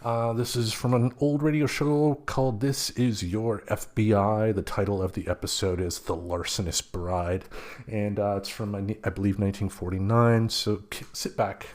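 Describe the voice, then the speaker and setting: A man speaking as a podcast host, giving a casual, informal solo introduction in a halting, conversational way. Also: lowish voice, fairly even intonation, a little mellow.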